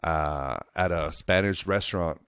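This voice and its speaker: A man with a low voice, recorded dry and without room sound. He talks like a comic on a podcast, casual and anecdotal. His delivery is earnest and rambling, full of slang and the odd swear word. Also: a little slow, fairly bright tone, clear, resonant tone, no room sound. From United States, Lake Tahoe and Reno area of Nevada. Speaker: stand-up comedian.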